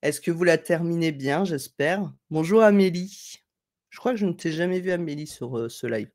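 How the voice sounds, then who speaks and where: A woman with a low voice chats through a live stream from a room at home, picking up viewers' comments. Her talk wanders and is full of small fillers. She sounds slightly stuffed up from a cold. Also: expressive, normal speed, darkish tone. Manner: chatty, digressive, friendly, thinking aloud with fillers. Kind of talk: casual live tutorial, reading chat comments. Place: home room at a desk, indoors.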